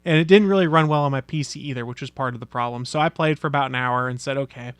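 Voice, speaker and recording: A man's voice: medium pitch, fast, smooth, no room sound. Laid-back man hosting a podcast. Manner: laid-back, chatty, laughs easily. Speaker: podcast host. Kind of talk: casual podcast chat.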